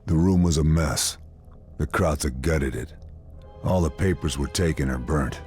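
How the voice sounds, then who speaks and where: An actor playing a hard-boiled army sniper, narrating a wartime game cutscene in a terse, grave, deadpan way. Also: male voice, very deep voice, unhurried, husky, faint music behind, full character voice. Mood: tense, resolute. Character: hard-boiled male WWII army sniper, grim and determined. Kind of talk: scripted first-person game cutscene narration.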